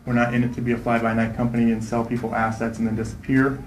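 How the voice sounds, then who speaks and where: A man in his thirties, a real estate investor, answering interview questions quickly and confidently, often tagging "right?" onto his points. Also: mid-range voice, level tone, reverberant, slight background noise, low-quality recording. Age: 30s. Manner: fast, confident, repeats "right?".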